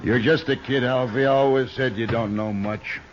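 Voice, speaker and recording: An actor playing a burly, threatening, suspicious outlaw boss in a radio western, gruff and domineering. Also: male voice, mid-range voice, fairly even intonation, moderate pace, natural voice. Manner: gruff, domineering, barking orders. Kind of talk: old-time radio western acting. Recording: dull, hissy old radio recording.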